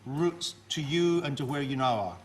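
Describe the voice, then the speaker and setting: A man's voice: a little high, animated, moderate pace, heavy background noise. A man hosting a studio panel interview, asking chatty and teasing questions. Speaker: TV talk show host.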